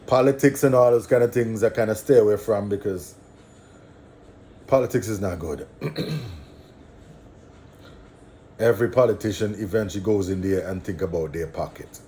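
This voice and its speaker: Antiguan guy ranting his views, lowish voice, keeps repeating himself to drive it home, bit of hiss. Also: normal speed, long pauses, dark tone. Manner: preachy, emphatic, repeats phrases for stress. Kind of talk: casual monologue.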